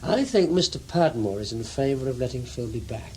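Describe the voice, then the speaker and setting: A man's voice: medium pitch, very animated, a little fast, darkish tone, natural voice. Dogged male investigator, played by an actor, noisy recording. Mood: probing. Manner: probing, insistent, clipped. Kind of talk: radio drama acting, dialogue and first-person narration.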